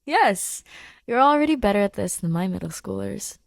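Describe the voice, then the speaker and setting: A woman voicing an eager, friendly human male science teacher, animated and encouraging, in a fan fiction audio reading. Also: medium pitch, normal speed, vocal fry, no room sound, clean studio sound. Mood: eager, explaining. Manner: animated, encouraging classroom delivery.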